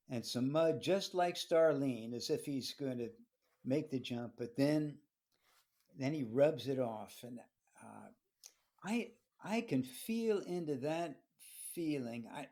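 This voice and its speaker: A man co-hosting a read-along, talking casually and reflectively, often pausing mid-phrase. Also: mid-range voice, mellow. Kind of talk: casual discussion with personal reflection.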